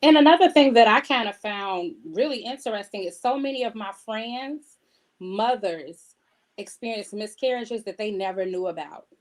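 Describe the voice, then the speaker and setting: A woman's voice: mid-range voice, lively intonation, normal speed. A woman, an author being interviewed, chatting casually and telling anecdotes by acting out what other people said.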